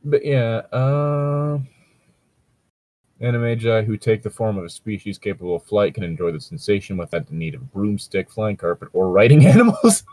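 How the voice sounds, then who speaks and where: Low-voiced man, lively and casual. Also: moderate pace, no echo. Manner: informal, sweary, reacting aloud. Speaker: co-host of a fan fiction reading show. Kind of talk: casual commentary and reading aloud.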